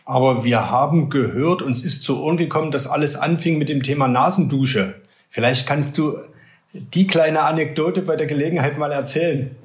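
A man hosting a sports podcast, asking relaxed, prompting questions in a conversational interview. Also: on the high side, moderate pace. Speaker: sports journalist and podcast host. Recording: roomy echo.

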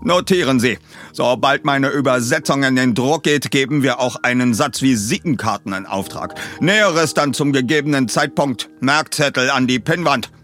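A man's voice: medium pitch, normal speed, fairly bright tone, faint music behind, pitched above the performer's own voice, lightly acted. A man reading an eccentric, commanding professor in an audiobook, with a pompous, emphatic and bossy manner.

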